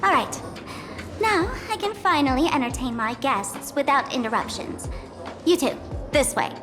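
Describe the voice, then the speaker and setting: A woman's voice: sits high, big pitch swings, normal speed, big-room sound. A woman voicing a playful young trickster hostess in a video game, with a theatrical, teasing manner. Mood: breezy, in charge. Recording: background music behind the voice.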